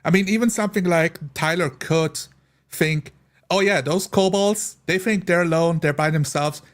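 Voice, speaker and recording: A man chatting casually among friends in an animated, joking, sweary way. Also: fairly high pitch, normal speed. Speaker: tabletop role-player. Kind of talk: casual group chat.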